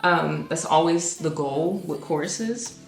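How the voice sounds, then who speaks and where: A young woman, a singer-songwriter, casually explains her own song with many ums and restarts and now and then sings a note; her voice is low. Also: takes it slowly, gravelly, reverberant, faint music behind, rough recording. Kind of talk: casual music breakdown.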